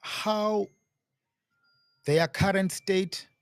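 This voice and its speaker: A man interviewing a guest and asking questions casually in short, halting phrases. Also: high-pitched, steady tone, clear, resonant tone, no room sound, clean studio sound.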